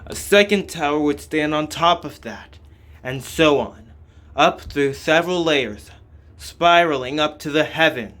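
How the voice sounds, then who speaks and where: A man's voice: on the high side, unhurried, a bit bright. A man reading translated game dialogue and narration aloud as a scripted playthrough. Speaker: reader voicing a game playthrough.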